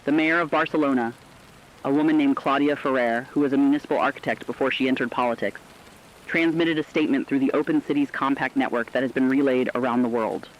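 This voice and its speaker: A man playing a solemn, compassionate radio broadcaster, delivering a scripted monologue earnestly and deliberately. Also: mid-range voice, steady tone, highs cut off, natural voice. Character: solemn, compassionate male broadcaster chronicling a collapsing world.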